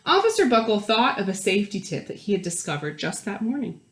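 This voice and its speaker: A woman reading a picture book aloud to young children, expressively, pausing for chatty explanations and questions. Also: mid-range voice, very animated, reverberant. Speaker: children's storytime reader.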